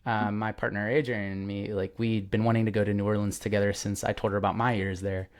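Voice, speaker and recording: A man's voice: lowish voice, flat delivery, fast. A young man hosting a podcast, chatting casually and enthusiastically, with lots of fillers and restarts. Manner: enthusiastic, rambling with many fillers. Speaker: podcast host. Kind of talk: casual interview chat.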